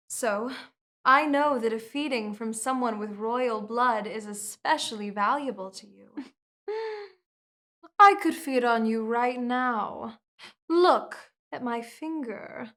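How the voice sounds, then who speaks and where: A woman's voice: fairly high pitch, fairly bright tone, full character voice. A woman voicing a commanding, seductive female vampire queen in an ASMR hypnosis roleplay, speaking in a soothing, controlling way. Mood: teasing.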